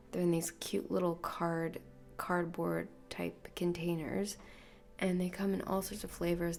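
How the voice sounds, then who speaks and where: A woman hosting a solo podcast, rambling softly and half-whispering, her voice nasal and congested from illness. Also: fairly low pitch, vocal fry. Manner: soft, half-whispered, meandering. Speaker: podcast host. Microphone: podcast microphone.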